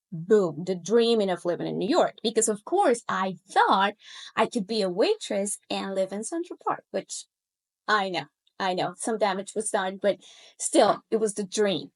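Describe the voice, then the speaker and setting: A woman in her thirties from Colombia, a native Spanish speaker with a Colombian accent, telling her own language-learning story in an expressive, animated way. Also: mid-range voice. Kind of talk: personal storytelling to camera.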